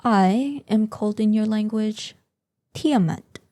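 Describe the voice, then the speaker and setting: A woman playing an ancient, proud and curious female dragon in an audio roleplay, speaking softly in formal, old-fashioned phrases. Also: medium pitch, no room sound. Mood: gracious, formal. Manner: formal, archaic, deliberate phrasing. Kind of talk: scripted audio roleplay addressed to the listener.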